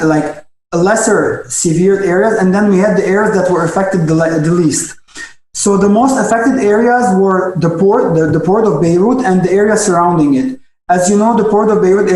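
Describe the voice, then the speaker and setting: High-voiced young man from Lebanon, halting. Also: darkish tone, roomy sound, poor audio. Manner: halting, frequent restarts and stammers. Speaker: guest doctor. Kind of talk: webinar interview answers. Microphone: video call.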